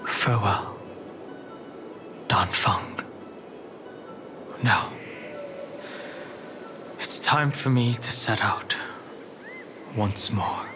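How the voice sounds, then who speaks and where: Game hero: stoic young dragon warrior, low, measured, earnest, music underneath. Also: male voice. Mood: solemn. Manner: measured, calm, grave. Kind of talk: dramatic video game dialogue.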